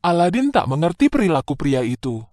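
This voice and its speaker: A man narrating a scripted children's fairy tale in an expressive, dramatic way. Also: a little high, fairly quick, no echo, studio quality. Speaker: storyteller. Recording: music behind the voice.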